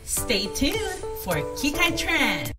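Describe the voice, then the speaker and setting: Loud music, lady doing a show promo announcement, real slow, lively pitch.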